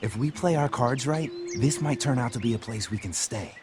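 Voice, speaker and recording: An actor playing an earnest, cautiously hopeful American survivor in a video game, talking casually. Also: male voice, mid-range voice, normal speed, natural voice. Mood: impressed. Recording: game soundtrack, music and birds behind.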